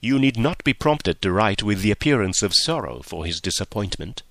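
A man giving voice to a composed young lady of standing, measured and sure of herself, with a lively rising and falling melody. The sound is dry and close-miked. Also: on the low side, no room sound. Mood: advising. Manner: measured, confident, gently lecturing. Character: poised, self-assured young gentlewoman.

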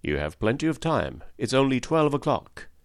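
A man's voice: medium pitch, sing-song, normal speed, creaky, no room sound, clean studio sound, voice pushed up. A man playing a curt, suspicious detective. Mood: probing. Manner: curt, probing questions. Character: suspicious probing male detective. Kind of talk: audiobook reading.

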